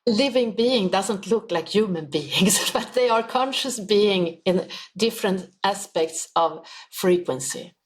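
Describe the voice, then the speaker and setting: A woman's voice: mid-range voice, slow pace, hardly any pauses. A woman being interviewed as a spiritual healer, explaining her ideas earnestly in simple, uneven English phrasing. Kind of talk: podcast interview answers.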